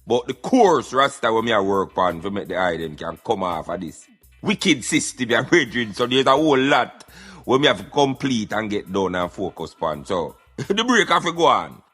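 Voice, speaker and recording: A man's voice: on the low side, big pitch swings, moderate pace, fairly bright tone, breathy edge. A man with a Jamaican accent hosting a radio show, chatting casually to listeners in patois with frequent affirming interjections. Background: music.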